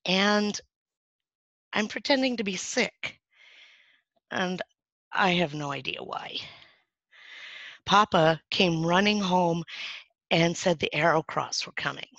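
A woman plays a scared young girl telling her own story in a dramatic monologue, with many halts and restarts. The voice is slow and gravelly with vocal fry. It is recorded dry and sounds dull and lo-fi. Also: lowish voice, expressive, a bit bright, no room sound, heavily acted. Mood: uneasy. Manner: halting, hesitant telling with restarts. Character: frightened young girl hiding in her bed. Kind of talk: first-person dramatic monologue.

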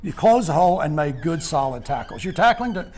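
An older man interviewing high school football players in a clubhouse after a game, upbeat and full of praise. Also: big pitch swings, fairly quick, a little mellow, husky. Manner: upbeat, praising, rambling questions. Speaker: postgame interviewer. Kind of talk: postgame sports interview.

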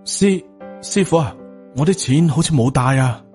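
A man's voice: on the high side, expressive, normal speed, a little mellow, faint music behind, lightly acted. A man with a Hong Kong Cantonese accent voicing an anxious, hot-headed young student apprentice in a story, questioning and indignant.

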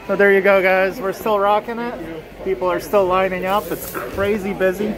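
A man's voice: sits high, fairly even intonation, normal speed. A man vlogging casually from a busy indoor toy convention on a handheld camera, joking and laughing often as he talks. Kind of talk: casual vlog chat. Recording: noisy crowded convention floor. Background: crowd chatter.

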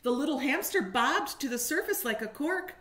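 A woman's voice: high voice, expressive, moderate pace, fairly bright tone. A woman around her forties, a kindergarten teacher reading a picture book aloud expressively and putting questions to young listeners, recorded at home on a webcam. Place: living room at home, indoors. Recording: roomy echo on a home webcam.

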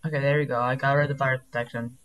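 A young man chatting casually while speedrunning a game, rambling with lots of fillers and quick asides, his voice sounding deep. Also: little pitch movement, fairly bright tone.

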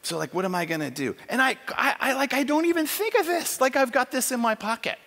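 A middle-aged man preaching on a large church stage, emphatic and animated, acting out quoted lines as he tells a story. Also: very high voice, fairly quick. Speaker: conference speaker. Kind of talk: sermon with storytelling. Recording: long hall reverb.

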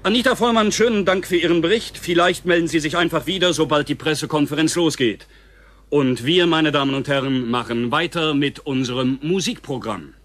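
An actor playing a polite, probing radio presenter in a news interview within an audio drama. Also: male voice, fairly high pitch, fairly quick, fairly bright tone, a little hiss or hum, lightly acted.

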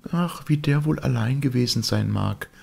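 A man voicing a tender, soft-hearted young wife in a storybook reading. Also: medium pitch, moderate pace, gravelly, slightly rough, voice pushed up. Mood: pitying.

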